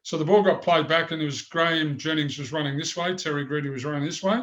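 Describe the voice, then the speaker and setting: Older man, big voice, slightly high, rambling storyteller. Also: steady tone, fast talker, fairly bright tone. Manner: rambling anecdotes with many restarts. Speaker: former goalkeeper, guest. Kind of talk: storytelling interview.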